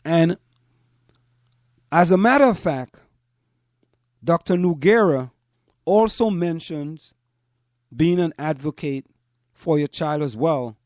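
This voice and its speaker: A man from Trinidad and Tobago, speaking as a show host in deliberate commentary and repeating phrases for emphasis. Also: fairly high pitch, darkish tone, no room sound, studio quality. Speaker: host of a community parents' talk show. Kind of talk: spoken commentary to listeners.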